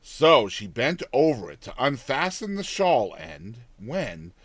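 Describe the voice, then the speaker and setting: A man narrating a dramatized audiobook tale in an expressive, theatrical storytelling manner. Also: medium pitch, slow, breathy edge, no room sound.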